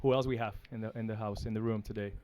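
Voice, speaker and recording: A man's voice: on the low side, steady tone, rapid-fire, a little mellow. A man co-hosting in casual banter, often agreeing with his partner and welcoming the guests. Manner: agreeable, echoes his co-host with "yeah, absolutely". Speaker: co-host. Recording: dull sound with music behind.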